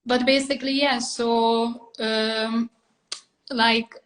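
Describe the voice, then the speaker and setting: A woman's voice: fairly high pitch, little pitch movement, slow pace, a bit bright, rough recording. A woman from Hungary with a Hungarian accent, talking casually about personal things in a rambling way, peppered with filler phrases. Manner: rambling, full of "like" and "you know".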